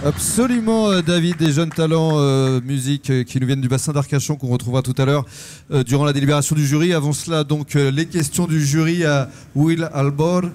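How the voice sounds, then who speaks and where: Brisk male reporter at a fair. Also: fairly high pitch, a little mellow. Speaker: on-site radio reporter. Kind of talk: live radio duplex. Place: radio fair floor.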